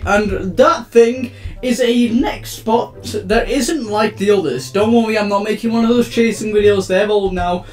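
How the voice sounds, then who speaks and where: A young man giving casual, excitable gameplay commentary as a gaming channel host, rambling and panicking aloud as things go wrong. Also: very high voice. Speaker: gaming YouTuber. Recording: roomy, distant mic with background music.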